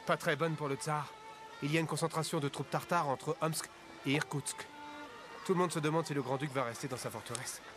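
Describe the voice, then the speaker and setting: A man's voice: on the high side, steady tone, fairly quick, over music, natural voice. A man playing a grave townsman in a cartoon drama, passing on news in a subdued, matter-of-fact way. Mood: grave, speculative. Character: grave male townsman passing on war rumours. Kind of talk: animated drama dubbing.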